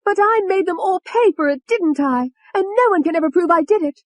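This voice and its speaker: Audiobook villain: a high-pitched, sneering young woman, volatile and furious, swooping wildly. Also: very animated, normal speed, no echo. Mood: sneering boast. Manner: sneering, taunting, explosive. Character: furious, taunting young British woman, a murderous alter personality.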